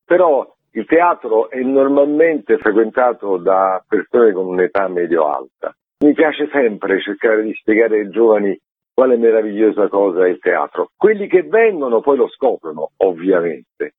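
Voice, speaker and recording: A man's voice: fairly low pitch, animated, unhurried, slightly rough, no echo. A man explaining things in an interview in an emphatic, conversational way. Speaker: theatre artistic director, interview guest. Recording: narrow, tinny phone line.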